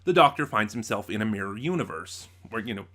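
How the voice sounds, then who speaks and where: Bright-voiced man, rambling casual reviewer. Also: on the low side, lively intonation, fast. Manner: rambling, over-explaining, with asides and self-corrections. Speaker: host of a review channel. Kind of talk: casual unscripted review talk to camera.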